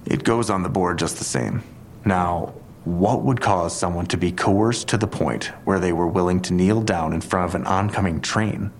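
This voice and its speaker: A police detective in an audio drama. His voice sits very low and he reasons in a deliberate, analytical way. A faint rumble runs underneath. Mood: measured, speculative.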